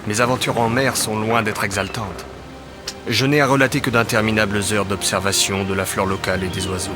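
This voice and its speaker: A man's voice: fairly low pitch, fairly even intonation, fairly quick, music in the background, lightly acted. An actor playing a bored, disgruntled old-time sailor reading his journal in a game voice-over.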